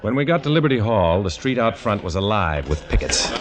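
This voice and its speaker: A hard-boiled undercover agent narrates in a terse, tough-guy way. His voice sits fairly low, yet it swings widely in pitch. The delivery is 1950s radio drama acting. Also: very animated, brisk, a loud crowd. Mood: grim narration. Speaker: narrator and lead.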